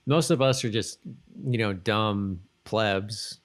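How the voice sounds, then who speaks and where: Low-voiced man in loose, casual podcast chat. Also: slow. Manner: rambling, loose, self-interrupting. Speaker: podcast co-host. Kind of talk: casual chat reviewing a TV episode.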